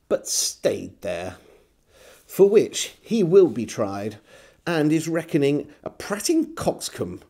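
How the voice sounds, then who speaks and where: A man, reading an old diary aloud as a storyteller, measured and theatrical with deliberate pauses. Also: medium pitch, dark tone. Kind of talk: expressive literary diary reading. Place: small home room with bookshelves.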